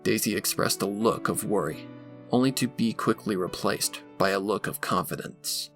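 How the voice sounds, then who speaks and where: A man's voice: fairly low pitch, normal speed, a bit bright. A man reading scripted fan fiction as a dramatic narrator. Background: background music.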